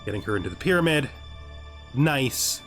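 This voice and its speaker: A man's voice: medium pitch, very animated, moderate pace, a bit bright, faint music behind. A man doing casual gaming commentary as a let's play host, thinking aloud to himself as he goes.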